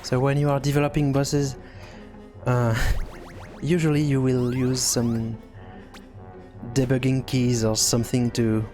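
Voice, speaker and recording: Slow-talking man thinking aloud through a tutorial. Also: mid-range voice, little pitch movement, darkish tone, soft background music. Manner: hesitant, halting, thinking aloud. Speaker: tutorial presenter. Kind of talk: unscripted screen-recorded programming tutorial, thinking aloud.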